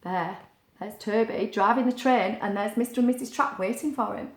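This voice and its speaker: A woman reading a picture book aloud to young children, expressive, with chatty asides and questions. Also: medium pitch, moderate pace. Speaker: children's story reader. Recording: roomy, echoing sound.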